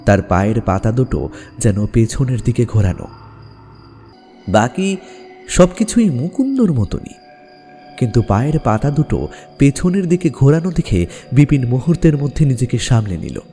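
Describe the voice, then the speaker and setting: Male storyteller for horror audio: warm dark tone, animated, suspenseful pauses, music underneath. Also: medium pitch. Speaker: narrator. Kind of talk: dramatic scripted horror story narration.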